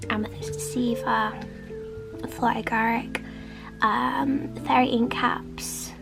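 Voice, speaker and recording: A young woman with a sniffly head cold chats in a soft, half-whispered ramble as she tidies her small home art studio. Her voice sits a little high and has a gravelly edge. Music plays clearly behind her. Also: fairly even intonation, slow pace. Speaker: artist vlogger. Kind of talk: casual studio vlog chat.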